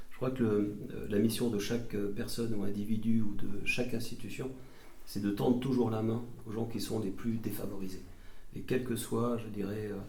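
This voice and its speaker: A man's voice: fairly low pitch, creaky, darkish tone, echoey room, a little hiss or hum. A man hosting a formal masterclass interview, effusive and complimentary, with frequent fillers. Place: lecture room.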